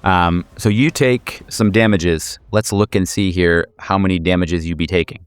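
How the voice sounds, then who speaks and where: Game master, male, lowish smooth voice, improvising narration with hesitations and dry playfulness, close dry sound. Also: fairly even intonation, no room sound. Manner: hesitant, halting, searching for words; wry, playful, slangy. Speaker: game master of a horror tabletop podcast.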